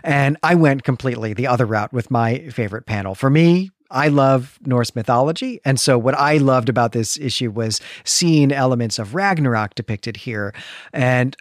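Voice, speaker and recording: A man's voice: mid-range voice, moderate pace, no room sound. Enthusiastic middle-aged man, dry sound. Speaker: podcast co-host. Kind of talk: casual chat about comics.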